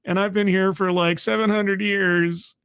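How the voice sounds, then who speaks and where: A man acts out an ancient, decaying vampire god who is terribly bored. The voice sits high and whines along in drawn-out complaint, resigned to endless captivity, in a casual comic storytelling style. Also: level tone, a bit bright, smooth. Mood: weary, whiny.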